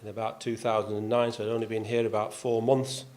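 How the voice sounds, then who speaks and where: A man's voice: on the low side, level tone, quick pace, a little mellow. An older man from Yorkshire with a faint accent, speaking to an audience as a golf club's vice captain, with dry self-deprecating humour and frequent "uh" fillers. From Yorkshire, England. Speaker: golf club vice captain giving a talk. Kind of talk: speech to an audience. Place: clubhouse function room.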